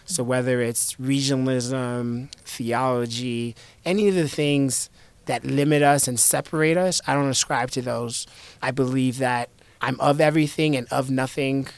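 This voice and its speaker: A man in his thirties from the United States, an investor guest talking reflectively in an interview, in a measured way with repeated starts. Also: mid-range voice, steady tone, gravelly, smooth, dry recording. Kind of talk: conversational interview.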